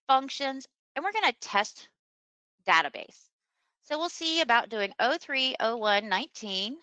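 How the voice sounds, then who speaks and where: Woman doing a webinar software demo, step by step, really bright voice, dry sound no echo. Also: on the high side. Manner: instructional, step-by-step. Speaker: software trainer presenting a webinar. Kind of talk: screen-share software demonstration, unscripted explaining.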